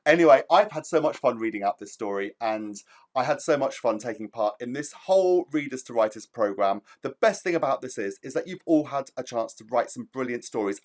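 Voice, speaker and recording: A man, a children's author, speaking to young children in an enthusiastic, theatrical storytelling style with emphatic delivery. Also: mid-range voice.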